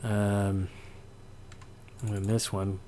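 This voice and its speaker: A man's voice: on the low side, little pitch movement, very slow, noisy background. A man presenting a casual sound design walkthrough, hesitant and thinking aloud as he goes. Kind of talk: casual screen-recorded walkthrough.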